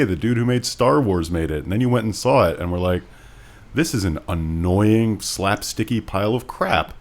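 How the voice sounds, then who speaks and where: Wry, very low-voiced man on podcast. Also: darkish tone. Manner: wry, sarcastic. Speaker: podcast co-host. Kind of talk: casual comedic chat.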